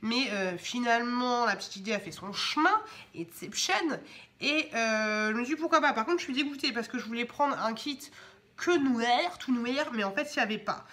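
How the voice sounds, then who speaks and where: A woman's voice: on the high side, lively intonation, normal speed, sharp tone. A young woman with a cold, chatting casually at home as she shows off her shopping haul, with lots of fillers and restarts. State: has a cold, stuffy. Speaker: vlogger showing shopping haul. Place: home indoors.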